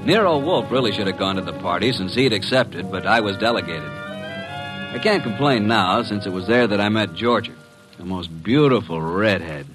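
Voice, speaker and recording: An actor playing a breezy male detective's assistant in an old radio drama, with a wry, smooth-talking manner. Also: lowish voice, lively intonation, normal speed, over music, natural voice, rough recording. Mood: wry narrating. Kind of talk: 1940s-50s radio drama acting and narration. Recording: vintage radio drama recording.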